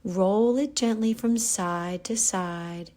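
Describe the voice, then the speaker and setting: A woman guiding a yoga nidra relaxation, giving calm, gentle, unhurried instructions with pauses. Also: medium pitch. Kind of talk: guided relaxation meditation.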